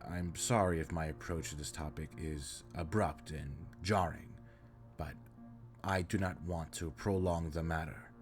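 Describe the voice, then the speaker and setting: A man's voice: extremely low pitch, steady tone, measured pace, soft background music. A man playing an earnest, caring alien spaceship captain in an audio roleplay, speaking in stiff, formal, precise sentences. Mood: concerned, apologetic. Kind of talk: scripted roleplay audio drama, addressing the listener.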